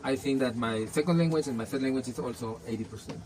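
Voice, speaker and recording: A man's voice: mid-range voice, fast talker, crowd noise, rough recording. A man whose first language is Spanish, answering interview questions in an earnest, casual way, with many restarts and "you know".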